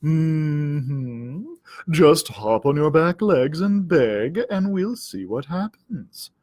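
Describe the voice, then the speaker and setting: Male reader as silky, sly cartoonish cat for a children's story; slow, deliberate drawl, close dry sound. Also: fairly high pitch, animated, a little mellow, dry recording, full character voice. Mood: coy, coaxing. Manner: drawling, falsely sympathetic, deliberate. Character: sly, smug, silky male cat scheming against a dog.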